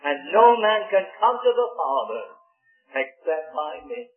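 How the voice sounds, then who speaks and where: A man's voice: sing-song, measured pace. Impassioned preacher man, tinny echoey recording. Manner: impassioned, pitch swoops up on key words, old-fashioned scripture phrasing. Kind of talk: fervent revival sermon. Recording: tinny phone-like old recording in an echoey hall with faint music.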